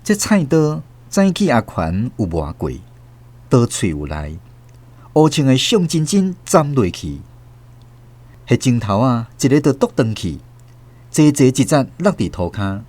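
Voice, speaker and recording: A man's voice: medium pitch, very animated, measured pace, a little mellow. A man with a Taiwanese accent narrating a scripted story dramatically as a radio host.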